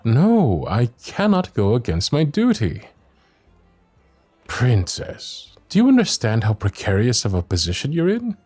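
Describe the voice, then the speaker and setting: Music plays behind a male actor as a devoted royal knight in a fantasy audio roleplay. His lively voice swings widely in pitch. He is protective and exasperated, with a teasing streak and courtly turns of phrase. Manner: old-fashioned courtly phrasing, teasing and earnest. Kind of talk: ASMR roleplay acting, fantasy audio drama.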